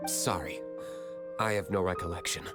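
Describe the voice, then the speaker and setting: A man's voice: low-pitched, fairly even intonation, moderate pace, close to an everyday voice. A man playing an aloof young tavern owner, curt and coolly dismissive, in a video game. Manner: cool, terse, dry. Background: music.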